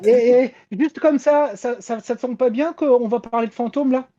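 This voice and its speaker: A man's voice: extremely high pitch, moderate pace, dark tone. A man talking as a panelist in a casual group chat. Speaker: show panelist. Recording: dull, lo-fi sound.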